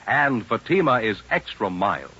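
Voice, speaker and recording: A man announcing in scripted 1950s radio style, authoritative, as narrator and cigarette pitchman. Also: big pitch swings, measured pace, breathy edge, full character voice. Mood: polished, selling. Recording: old radio broadcast, dull, loud music behind.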